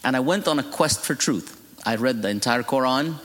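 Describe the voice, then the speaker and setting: A man's voice: mid-range voice, moderate pace, a bit bright, long reverb tail. A middle-aged man from Egypt with an Egyptian accent, whose first language is Arabic, giving a humorous testimony from the pulpit as a guest preacher. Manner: humorous storytelling, laughing at his own jokes. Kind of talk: sermon testimony. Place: church.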